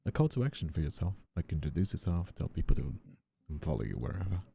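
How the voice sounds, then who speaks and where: Very deep, mellow, gravelly man. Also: very animated, fast. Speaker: streamer and voice actor. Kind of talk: casual livestream chat.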